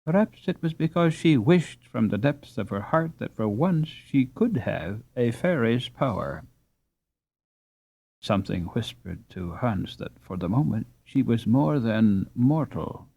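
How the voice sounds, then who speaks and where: Slow, expressive male storybook narrator. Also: mid-range voice, creaky, breathy edge, no room sound, highs cut off. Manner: measured, expressive reading. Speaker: audiobook narrator.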